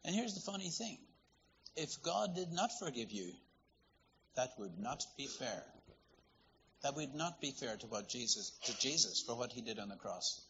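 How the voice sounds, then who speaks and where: A man preaches in a bright, forward voice, telling stories in a lively, conversational way. The recording is somewhat dull and lo-fi, with a faint hiss of noise underneath. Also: mid-range voice, normal speed. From Northern Ireland. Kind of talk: sermon.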